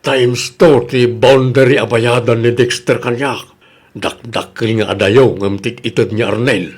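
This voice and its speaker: A man's voice: medium pitch, fairly even intonation, a little slow, husky. A man with an Ilocano accent playing a money-minded jeepney owner, a casual and self-interested boss in a comedy radio drama. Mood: self-justifying.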